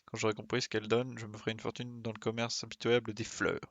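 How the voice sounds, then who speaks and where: Male player reading a boastful game merchant, scheming and chatty, lowish pitch, dry sound. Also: monotone, fast, no echo. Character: scheming plant merchant boasting of exotic seeds. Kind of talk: acted reading of video game quest dialogue.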